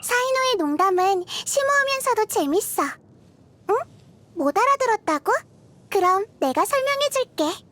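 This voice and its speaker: A woman voicing a gentle, curious little girl deity of wisdom in a game, soft-spoken and thoughtful, as if kindly explaining. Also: extremely high pitch, no echo, full character voice. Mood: patient, pleased. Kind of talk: scripted game character voice lines. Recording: music behind the voice. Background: background music.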